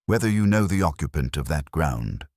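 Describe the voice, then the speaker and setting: Observant, dry-witted detective for a mystery story man narrating the part, low voice, polite understatement, close dry sound. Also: big pitch swings, moderate pace, a little mellow, breathy edge, no room sound, exaggerated voice. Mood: gently probing.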